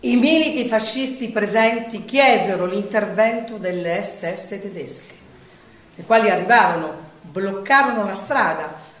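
A woman's voice: medium pitch, unhurried, lightly acted. A woman performing a declamatory theatrical narration. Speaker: stage performer. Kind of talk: theatrical storytelling on the wartime Resistance. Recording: echoey stage, distant mic, faint noise.

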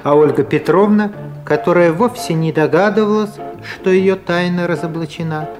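Man narrating a radio comedy. Dry wit, deliberate delivery, clear instrumental backing. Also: sits high, darkish tone. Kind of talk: scripted narration of a comic radio play. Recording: music playing behind the voice.

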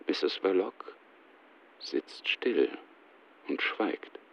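Male narrator, radio drama: low gravelly voice, measured and detached, slightly muffled recording. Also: expressive, fairly bright tone.

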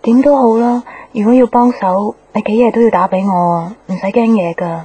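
An actress playing a gentle, concerned young woman in a radio drama, with a Hong Kong Cantonese accent. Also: medium pitch, fairly even intonation, a little fast, husky, natural voice, rough recording. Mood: caring. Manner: soft-hearted, concerned. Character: gentle, caring young woman on a late-night call. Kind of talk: naturalistic radio drama acting.

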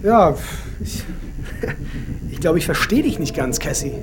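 An actor playing a tired off-duty police officer in a radio drama, casual and hesitant. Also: male voice, on the high side, lively intonation, slow, background noise. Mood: hesitant, puzzled.

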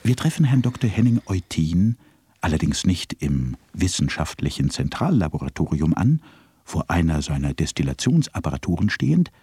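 A man's voice: sits low, sing-song, normal speed, warm tone, breathy edge, dry recording. A man narrating a radio drama in a dry, ironic way.

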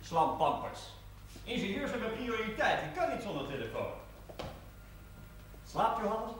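Boisterous young dad in old tv drama, highish lively voice, echoey noisy sound. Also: male voice, a little slow, heavily acted. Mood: brusque, bantering. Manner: boisterous, bantering, slangy. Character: brash, jovial husband and proud young father. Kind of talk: naturalistic television drama acting.

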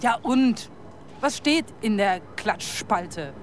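Exasperated detective for radio crime drama: sarcastic, impatient, mid-pitched, faint street ambience. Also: female voice, expressive, slow pace, a little hiss or hum.

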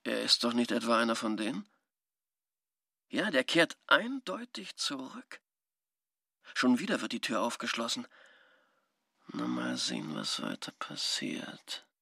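Gravelly, conspiratorial male schemer. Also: medium pitch, wildly swooping pitch, unhurried, pauses often, pushed and bright, no echo, clean studio sound, heavily acted. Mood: tense, watchful. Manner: conspiratorial, musing, clipped phrases. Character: shrewd, nosy man thinking aloud as he eavesdrops. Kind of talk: radio drama interior monologue.

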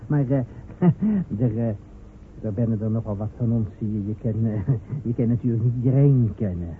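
Actor as a hesitant, bumbling soldier, tinny radio. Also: male voice, on the low side, moderate pace, natural voice. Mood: evasive, sheepish. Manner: hesitant, stammering, repeating himself. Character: shifty, bumbling stray soldier, evasive and easily won over. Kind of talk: radio play acting. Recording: noisy, phone-like old radio recording.

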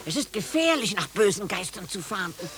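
An actress playing a calm, pious old Puritan grandmother, measured and admonishing, in 1950s German radio drama style. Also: medium pitch, noisy background.

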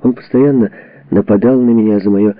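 A man reading a memoir as a scripted audiobook narration, with measured, dramatic phrasing and expressive delivery. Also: on the low side, no echo. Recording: dull, muffled lo-fi audio.

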